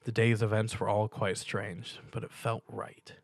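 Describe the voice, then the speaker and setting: A man's voice: fairly low pitch, little pitch movement, a little slow, gravelly, dry recording. A man reading a piece of fan fiction aloud as dramatic story narration.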